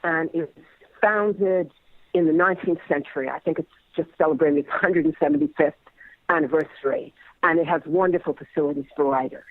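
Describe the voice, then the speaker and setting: A man's voice: a little high, brisk, dry recording, phone-quality sound. A man speaking reflectively as an interviewed playwright, hesitant and repeating words as he searches for phrasing.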